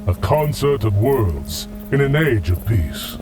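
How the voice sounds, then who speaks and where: An actor playing a noble, weary alien warrior leader who speaks in formal, measured oratory for a science fiction audio drama. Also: male voice, lowish voice, big pitch swings, over music. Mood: hopeful.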